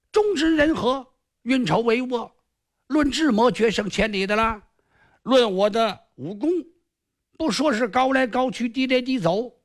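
Man putting on a shrill boastful sorcerer-fighter. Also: full character voice. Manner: shrill sing-song drawl ending lines with a lilting "la". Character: boastful cunning male martial artist sorcerer. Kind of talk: traditional storytelling performance.

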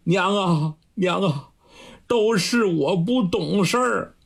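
A man's voice: high-pitched, expressive, slow, gravelly, exaggerated voice. A man voicing a remorseful grown son who cries out and pleads in tears, in a storytelling performance.

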